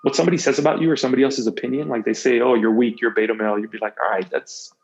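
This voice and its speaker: A man's voice: medium pitch, fairly even intonation, racing, creaky, highs cut off. A man talking casually and earnestly as a podcast guest, emphatic and often repeating words and phrases for effect. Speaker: podcast guest, motivational coach. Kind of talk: casual conversational interview talk.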